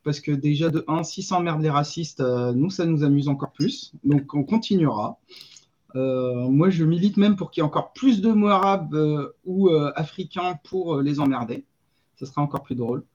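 A man's voice: fairly high pitch, normal speed, darkish tone, highs cut off. A man from Lyon, a native Arabic speaker, talking bluntly as a panel guest, hesitating often. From Lyon, France. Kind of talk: casual panel discussion.

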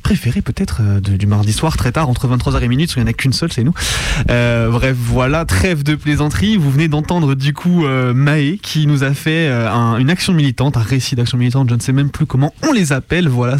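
A man's voice: medium pitch, brisk, a little mellow, dry recording. A man hosting a radio show, informal and self-deprecating, moving between chat and reading aloud. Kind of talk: casual radio presenting and reading a statement aloud. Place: radio studio.

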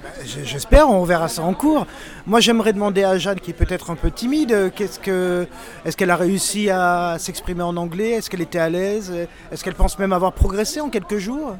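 A man's voice: high voice, a little fast, some chatter behind. A man, a teacher, asking students hesitant questions with many fillers, outdoors on a ferry. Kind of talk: interview questions.

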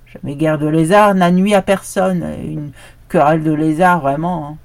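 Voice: mid-range voice, fairly even intonation, normal speed, no echo, natural voice. A storyteller playing a haughty bleating old billy goat. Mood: scornful. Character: bearded old billy goat, proud patriarch.

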